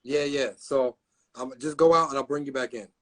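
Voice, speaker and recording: A man hosting a live stream, talking in a laid-back casual way and backing his guest up with steady agreement. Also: medium pitch, normal speed.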